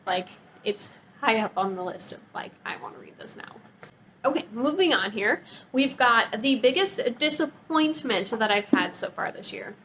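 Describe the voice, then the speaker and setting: A woman's voice: on the high side, expressive, normal speed, fairly bright tone, slight background noise, poor audio. A woman talking in a casual, chatty vlog style, with an enthusiastic, gushing and informal manner. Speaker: book review vlogger.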